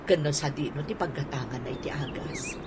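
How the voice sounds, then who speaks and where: A woman's voice: deep voice, animated, brisk, noisy background. A woman playing an older auntie devoted to her much younger boyfriend in a radio drama. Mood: plaintive, explaining.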